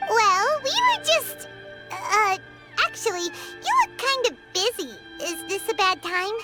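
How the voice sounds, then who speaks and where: Tiny fairy sidekick, extremely high squeak, curious and exclamatory game voice, prominent music. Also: female voice, sing-song, takes it slowly, exaggerated voice. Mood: eager. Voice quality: squeaky, childlike. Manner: refers to self by name, exclamatory. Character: tiny chirpy floating fairy girl companion, excitable, curious. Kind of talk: video game character acting. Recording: music behind the voice.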